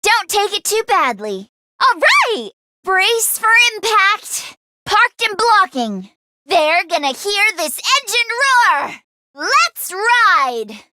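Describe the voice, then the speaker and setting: A woman's voice: very high voice, sing-song, takes it slowly, pushed and bright, a little hiss or hum, heavily acted. A woman voicing a plucky, earnest teenage girl mechanic in a video game, speaking emphatically and easily flustered. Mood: determined, spirited. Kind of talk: video game voice acting, battle barks.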